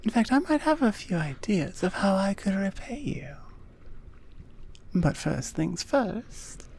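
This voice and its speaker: A woman playing a regal, centuries-old Amazon queen in an ASMR roleplay, speaking softly and formally with deliberate pauses. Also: medium pitch, sing-song, creaky, slight background noise, exaggerated voice. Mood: coaxing, intimate. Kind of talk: ASMR roleplay audio drama, addressed to the listener.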